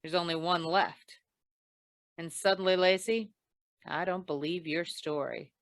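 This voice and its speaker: A woman playing a tough, wry female insurance investigator in an old-time radio noir, clipped, deadpan and probing. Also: fairly low pitch, unhurried, no echo, clean studio sound. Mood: accusing, cool.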